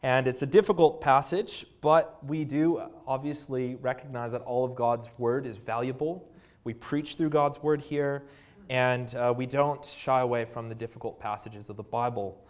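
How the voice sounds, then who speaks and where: A man with a Canadian accent preaching a sermon, reading scripture and praying in an earnest manner with frequent pauses and fillers. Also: medium pitch, steady tone.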